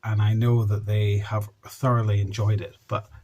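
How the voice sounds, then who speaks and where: A man's voice: lowish voice, flat delivery, moderate pace, warm tone. A man earnestly introducing a storytelling podcast as its host. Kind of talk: presenter introduction to camera or audience.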